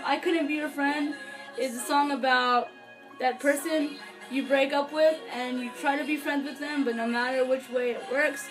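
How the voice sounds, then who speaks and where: This is a young woman with a high voice, chatting casually to a camera in her bedroom. She sounds earnest and gushing, like a devoted fan, and often hesitates or lets a thought trail off. Music plays clearly behind her. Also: rough recording. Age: young adult. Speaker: vlogger, fan album reviewer. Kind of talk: casual unscripted review to camera. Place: small furnished bedroom, indoors. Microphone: camera or webcam a few feet away.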